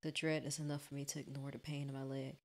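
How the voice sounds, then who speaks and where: A woman doing a let's play, reading a visual novel aloud and exclaiming expressive reactions. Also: rumbling low, quick pace. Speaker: gaming streamer. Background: game music.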